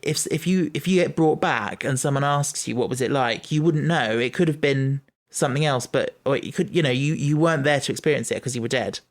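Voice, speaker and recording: A man's voice: medium pitch, a little fast, hardly any pauses. A man co-hosting a casual podcast chat, thinking aloud with many restarts and stumbles. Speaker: podcast co-host.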